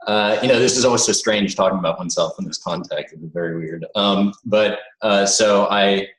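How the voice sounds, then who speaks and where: Low-voiced man on a call, pausing and searching for words, heard in a roomy space. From born in the United States, raised abroad. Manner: hesitant, thoughtful, many "you know" fillers. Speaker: interview guest. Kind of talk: reflective conversational interview.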